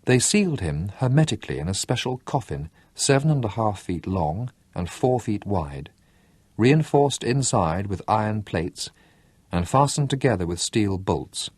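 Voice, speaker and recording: A man reading a novel aloud in an expressive audiobook style, with lively, dramatic delivery and slowed emphasis. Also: on the low side, slightly rough, dry recording.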